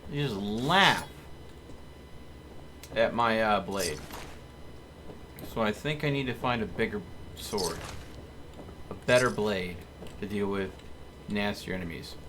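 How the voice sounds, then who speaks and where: A man's voice: fairly low pitch, slow, long pauses, fairly bright tone. A man giving casual live commentary as he streams a video game. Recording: faint background noise.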